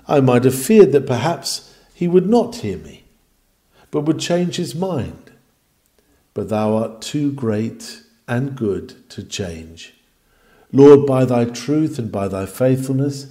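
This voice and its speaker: Mellow-voiced man reading a sermon. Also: medium pitch. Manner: declamatory, earnest, formal old-fashioned phrasing. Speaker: reader of a historical sermon.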